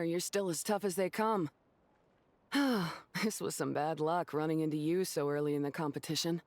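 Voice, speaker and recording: A woman's voice: mid-range voice, natural voice. A woman voicing a spirited young woman competing in a beast-racing contest in a video game, who talks with sporty, encouraging banter. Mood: good-natured.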